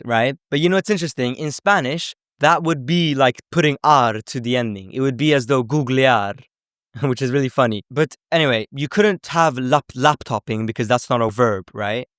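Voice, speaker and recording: Male language teacher, mid-pitched, informal explainer, conversational with frequent check-ins, very dry recording. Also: fairly bright tone, no room sound. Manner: conversational, often checks in with okay and right.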